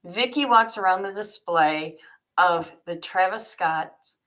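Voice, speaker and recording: Slow-paced woman reading and chatting. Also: on the low side, a bit bright. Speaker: author and livestream host. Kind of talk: reading own story aloud and chatting to live viewers.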